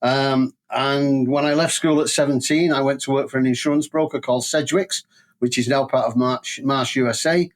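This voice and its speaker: A man's voice: mid-range voice, fairly even intonation, normal speed. An older man with a Manchester English accent, telling stories conversationally as an interview guest. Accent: Mancunian English. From England. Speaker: podcast guest, safety training company president. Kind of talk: conversational career storytelling.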